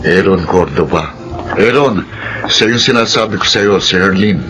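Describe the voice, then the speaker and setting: A man's voice: low-pitched, moderate pace, fairly bright tone. A man playing a friendly, patient suitor in a radio drama, with a Filipino accent, in a muffled, noisy recording. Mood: friendly, casual. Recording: muffled, band-limited, clearly noisy.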